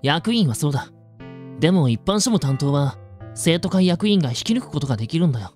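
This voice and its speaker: A woman's voice: low voice, big pitch swings, fairly quick, darkish tone, faint music behind. Woman acting an earnest, stiff schoolboy secretary. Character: earnest, stiff teenage male student council secretary. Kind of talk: amateur voice drama acting.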